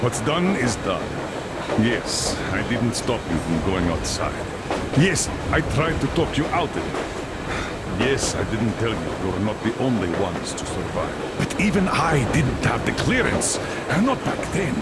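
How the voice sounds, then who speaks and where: Loud train noise surrounds a proud older commander in a game drama. He lectures sternly, his pitch swinging dramatically between restraint and force. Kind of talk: dramatic video game acting. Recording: loud train rumble behind.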